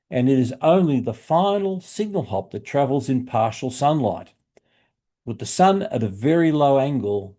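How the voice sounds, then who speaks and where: An Australian man narrating a hobby explainer video in a measured, instructive way, addressing the listener in a friendly manner. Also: medium pitch, mellow. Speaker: shortwave radio hobbyist presenter.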